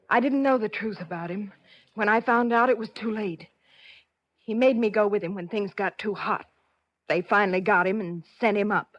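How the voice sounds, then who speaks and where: An actress playing a frightened, pleading former girlfriend of a gangster in an old radio crime drama, speaking haltingly. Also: mid-range voice, dry recording, lightly acted. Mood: subdued, confessing. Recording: muffled vintage radio recording.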